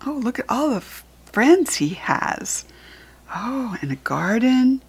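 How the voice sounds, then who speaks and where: A woman with an American accent reading a children's picture book aloud in a deliberate, teacherly way. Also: on the high side, big pitch swings, slightly rough. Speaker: storybook reader.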